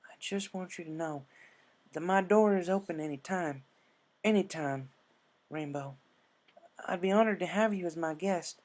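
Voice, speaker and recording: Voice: medium pitch, moderate pace, pauses often, a little mellow, voice pushed up, lightly acted. A narrator voicing a caring, folksy young female farm pony with an earnest, homespun way of talking.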